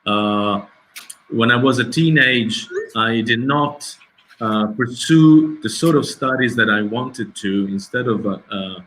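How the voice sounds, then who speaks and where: A middle-aged man, a documentary filmmaker answering festival questions over a video call, pausing often and searching for his English words. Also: mid-range voice, a bit bright, rough recording. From Italy. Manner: hesitant, searching for words, many restarts.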